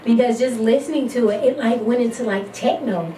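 A woman's voice: on the high side, brisk, warm tone, far from the mic. A young woman chatting casually as a co-host during a radio interview. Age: young adult. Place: small radio studio, indoors. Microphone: studio microphone on boom arm. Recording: roomy studio, noisy, off mic.

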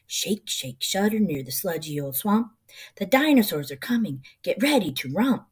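A middle-aged woman reading a children's picture book aloud in an expressive, rhythmic, sing-song way. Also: medium pitch, normal speed, slightly rough.

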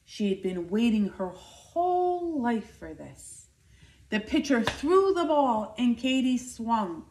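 A woman in her fifties reading a children's picture book aloud indoors, with expressive, deliberate, emphatic delivery. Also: fairly high pitch. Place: living room by a fireplace, indoors. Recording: roomy, echoing indoor sound.

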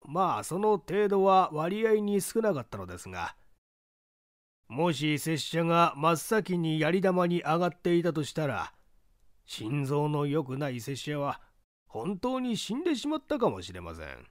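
Man acting polite, wordy old merchant, raised pitch. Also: big pitch swings, a little slow, no echo, studio quality. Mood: rueful. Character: stout-lipped rich merchant of sixty, jokey, shaken.

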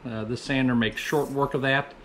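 A man's voice: mid-range voice, fairly even intonation, moderate pace, fairly bright tone, a little hiss or hum. A man in his fifties giving a casual, rambling voice-over as a woodworker, often correcting himself. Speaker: woodworker presenting own project. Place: small indoor woodworking workshop.

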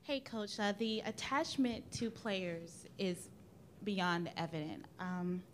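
A woman's voice: medium pitch, fairly even intonation, takes it slowly, a little hiss or hum. A woman reporter putting a question at a press conference in a hesitant, conversational way.

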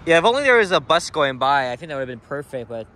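Higher-voiced young guy, street noise. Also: very animated, fast talker, sharp tone. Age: young adult. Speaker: vlogger. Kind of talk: casual walking vlog. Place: outdoors on a city sidewalk. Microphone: handheld camera. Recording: outdoor street traffic noise. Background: cars and street ambience.

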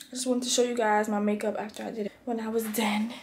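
Young woman vlogger, casual, higher pitch, phone held close, background hum. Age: young adult. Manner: relaxed, chatty, drawn-out fillers. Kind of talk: casual vlog talk to camera. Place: small bedroom.

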